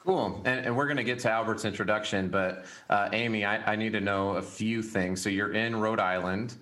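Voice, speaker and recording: Low-voiced male interview host. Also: a bit bright. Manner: friendly, halting with fillers. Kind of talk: casual interview talk.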